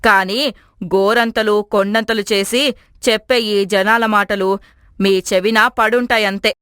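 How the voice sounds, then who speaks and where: A woman's voice: medium pitch, steady tone, takes it slowly, a bit bright, clear, resonant tone, no echo, studio quality. A woman voicing a strong-willed, persuasive middle-aged mother-in-law in a family story cartoon. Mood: firm, persuasive.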